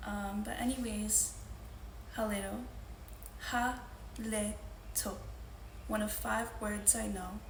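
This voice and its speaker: A woman's voice: mid-range voice, steady tone, slow pace, gravelly, pauses often, a little hiss or hum. A young woman from Louisiana, speaking earnestly as she introduces and reads her own poetry. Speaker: poet. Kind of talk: poetry reading with spoken introductions. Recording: roomy echo with faint ambience.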